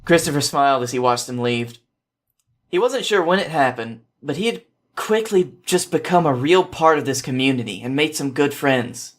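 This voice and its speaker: Male podcast storyteller, mid-pitched, informal narration with occasional small stumbles. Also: expressive, moderate pace. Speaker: podcast host reading fan fiction.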